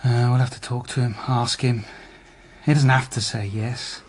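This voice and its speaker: An actor playing a weary, methodical middle-aged police detective, slightly husky, measured and understated, in naturalistic radio drama style. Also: male voice, medium pitch, fairly even intonation, a little hiss or hum, natural voice. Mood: weary, subdued. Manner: measured, matter-of-fact, understated.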